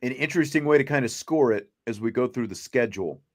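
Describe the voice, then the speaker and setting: Guy hosting a sports show, mid pitched voice, talks it through, rewords his points a lot. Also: quick pace. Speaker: sports talk show host. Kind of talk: unscripted sports discussion.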